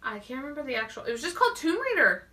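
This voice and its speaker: A woman's voice: high voice, very animated, rapid-fire, pushed and bright. A woman co-hosting a talk show, chatting casually with emphatic enthusiasm. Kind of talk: casual chat. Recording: distant mic.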